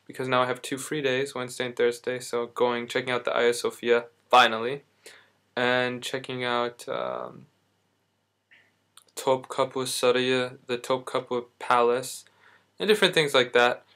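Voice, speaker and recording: A man's voice: mid-range voice, normal speed, a bit bright. Rambling man vlogging through back pain. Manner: rambling, self-correcting, lots of fillers. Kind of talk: casual video diary.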